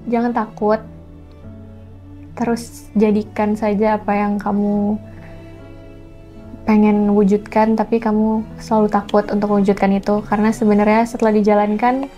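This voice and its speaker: A woman speaks in a mellow, mid-pitched voice. She chats casually and expressively as a singer-songwriter guest, with colloquial interjections, over faint music. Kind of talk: casual chat.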